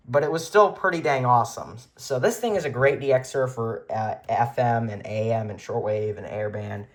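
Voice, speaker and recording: A man giving a casual show-and-tell as a hobbyist host, rambling and correcting himself, recorded on a handheld camera in a room at home. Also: mid-range voice. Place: small furnished room at home, indoors. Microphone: handheld camera or phone, behind the lens.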